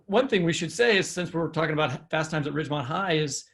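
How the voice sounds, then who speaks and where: A man with an American accent talks as a podcast co-host. His voice is creaky and a little high for a man. He words his questions casually, in a hesitant, roundabout way. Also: fast talker, a bit bright.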